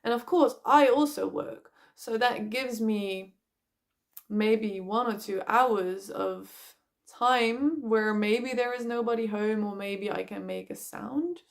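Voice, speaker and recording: A young woman with a loud voice, talking bluntly and casually about her life, swearing now and then and filling with "like". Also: a little high, slow pace, gravelly. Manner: frank, blunt, swears casually, many "like" fillers. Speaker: vlogger. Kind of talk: candid personal vlog talk.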